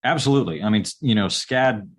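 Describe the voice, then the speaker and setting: A man in his forties, an architect, talking about his schooling in a relaxed, halting way with many pauses and restarts. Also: fairly low pitch, slightly rough. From upstate New York. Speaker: architect, podcast guest. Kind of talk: casual interview answers.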